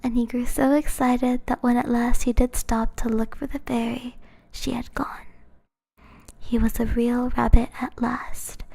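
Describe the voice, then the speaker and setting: A woman streamer reading a children's story aloud in a soft, gentle whisper. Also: fairly high pitch, level tone, a little slow, vocal fry.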